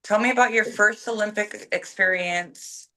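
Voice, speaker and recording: A woman hosting an informal interview, putting her introductions and questions deliberately, phrase by phrase. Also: medium pitch, sharp tone, low-quality recording.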